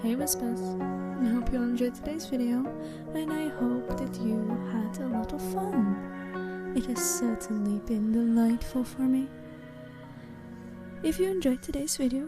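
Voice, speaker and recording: A soft-spoken woman addressing her viewers as host of an ASMR channel. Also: sits high, normal speed, over loud music.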